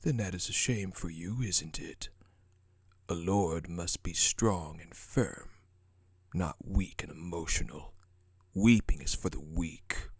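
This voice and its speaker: A man's voice: sits low, takes it slowly, breathy edge, dry recording, clean studio sound, full character voice. A man playing a haughty, power-hungry prince in a dramatic audio roleplay, with a contemptuous, menacing and formal delivery. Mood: cold, dismissive. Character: cold, haughty, power-hungry prince who scorns weakness.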